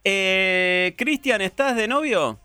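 Argentine male radio host, bright and teasing, quick banter. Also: high voice, clear, resonant tone, no room sound, faint music behind. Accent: Argentine Spanish. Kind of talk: casual radio chat.

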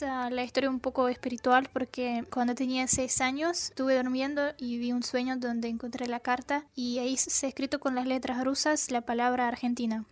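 A young woman from Russia in her twenties, answering questions earnestly in careful Spanish. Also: high-pitched. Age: 20s. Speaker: interviewee.

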